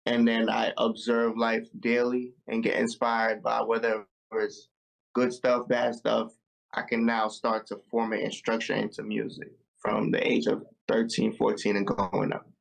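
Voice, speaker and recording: Young Jamaican-born male musician guest, gravelly, relaxed and reflective, on a video call. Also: on the low side, measured pace, rough recording. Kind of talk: casual interview over video call.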